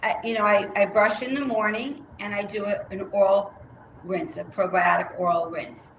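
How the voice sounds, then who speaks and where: Casting: woman interviewee, gravelly tone, roomy sound with light hiss, steady and explanatory. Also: medium pitch. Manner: measured, explanatory, with fillers. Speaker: guest, myofunctional therapist. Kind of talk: conversational interview answers. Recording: roomy with faint noise.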